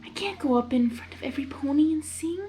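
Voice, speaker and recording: A timid cartoon pegasus mare, played by a woman, speaks in a high, soft voice. She is hesitant and anxious, and faint music can sit behind her words. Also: quick pace, lightly acted. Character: timid, nervous female cartoon pegasus pony.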